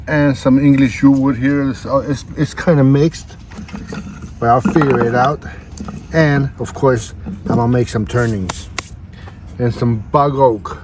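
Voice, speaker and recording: Slow-talking man hosting a folksy vlog. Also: mid-range voice, steady tone, background noise. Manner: folksy, addresses viewers as folks, laid-back slangy phrasing. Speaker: vlog host. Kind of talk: casual unboxing vlog talk to viewers.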